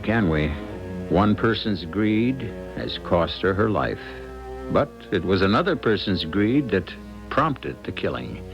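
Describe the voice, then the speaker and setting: Very deep-voiced American mystery host, muffled broadcast. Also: male voice. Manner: measured, philosophical, wry. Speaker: radio drama host. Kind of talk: scripted mystery narration, theatrical storytelling. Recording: dull old radio broadcast with music.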